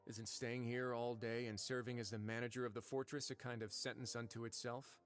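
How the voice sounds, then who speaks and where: An actor playing a composed, wry prison warden in a video game, speaking in a measured, formal, dryly teasing way. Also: male voice, medium pitch, little pitch movement, faint music behind, natural voice. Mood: wry, guarded. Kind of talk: scripted video game dialogue.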